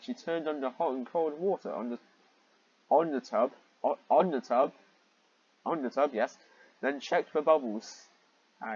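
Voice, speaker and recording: Husky, exasperated man reading aloud. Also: medium pitch, lo-fi sound. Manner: exasperated, sweary, sarcastic. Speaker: reader and commentator of fan fiction. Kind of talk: reading fan fiction aloud with mocking asides.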